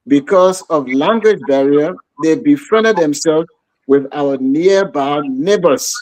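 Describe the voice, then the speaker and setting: A man talking on a radio show, speaking haltingly with many repeated words and fillers. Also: on the high side, a little mellow, dry recording, low-quality recording. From Liberia. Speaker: guest. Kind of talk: radio talk show discussion. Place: radio studio.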